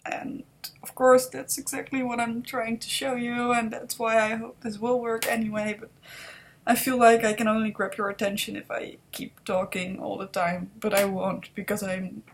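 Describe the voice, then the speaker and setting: A woman in her twenties with a Dutch accent, talking softly and hesitantly in an unscripted confessional vlog recorded on a webcam. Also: a little high, flat delivery, normal speed, slight background noise. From Netherlands. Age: 20s. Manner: soft-spoken, hesitant, self-doubting. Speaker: vlogger.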